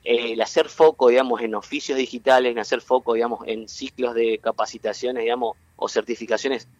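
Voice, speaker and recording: Halting argentine man from entre ríos. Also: mid-range voice, steady tone, a little mellow, no echo, dull audio. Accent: Entre Ríos Argentine Spanish. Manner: halting, many fillers and restarts. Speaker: guest, tech cluster president. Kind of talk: interview, explaining at length.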